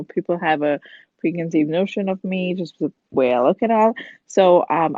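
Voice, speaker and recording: Chatty low-voiced young woman from India. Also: darkish tone, dry recording. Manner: chatty, lots of "like" and "um", laughs easily. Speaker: guest. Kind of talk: casual chat. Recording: dull lo-fi dry sound.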